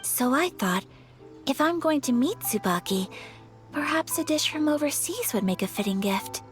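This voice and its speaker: An actress playing a gentle, polite young noblewoman in an anime-style video game, courteous and a little hesitant. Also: sits high, expressive, normal speed. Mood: polite, earnest. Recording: faint game music behind.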